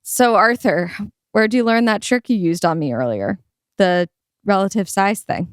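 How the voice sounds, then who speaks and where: A smooth, clear young woman played by an actress, feisty and skeptical. She is a frank heroine in an audio drama, recorded dry with faint music. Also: medium pitch, animated, unhurried, no room sound, lightly acted. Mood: curious.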